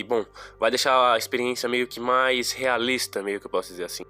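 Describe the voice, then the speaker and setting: A man's voice: mid-range voice, bright, forceful tone. A young man with a Brazilian accent, nasal and stuffed up from a cold, giving a slangy, rambling casual review of a game on his channel. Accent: Brazilian Portuguese. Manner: slangy, chatty, rambling asides. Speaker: gaming YouTuber.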